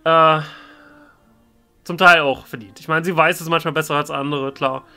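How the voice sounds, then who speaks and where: Clear-voiced, higher-pitched man rambling casually. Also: fairly even intonation, moderate pace, sharp tone. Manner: rambling, hesitant, thinking aloud. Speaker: let's player, role-play gaming channel host. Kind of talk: casual let's play commentary. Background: game music.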